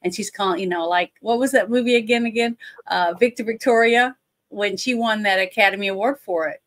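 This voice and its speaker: Blunt woman with a deep voice. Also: a little fast. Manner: blunt, opinionated, laughs often. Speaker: co-host. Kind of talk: casual movie-review chat. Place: indoors at home. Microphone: webcam on a video call.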